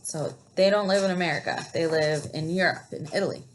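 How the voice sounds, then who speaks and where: A woman's voice: fairly low pitch, slight background noise, low-quality recording. Woman reading a picture book aloud to children. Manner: explanatory, pauses to ask questions. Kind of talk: picture book read-aloud with asides to young listeners.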